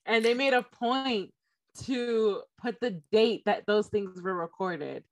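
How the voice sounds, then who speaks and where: A woman's voice: medium pitch, takes it slowly, rough recording. A woman co-hosting a podcast, agreeing along and adding short remarks in casual chat. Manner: agreeable, backchanneling.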